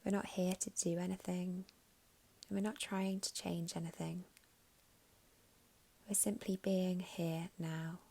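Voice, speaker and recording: A woman guiding a meditation in a soft, soothing, unhurried way with long pauses, her voice breathy and slipping toward a whisper at phrase ends. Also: lowish voice, level tone. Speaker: meditation guide. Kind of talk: guided meditation narration.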